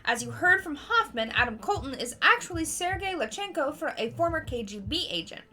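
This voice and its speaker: A woman's voice: sits high, big pitch swings, fairly quick, sharp tone, faint music behind. A woman hosting a board game livestream, switching casually between reading game text aloud and chatting.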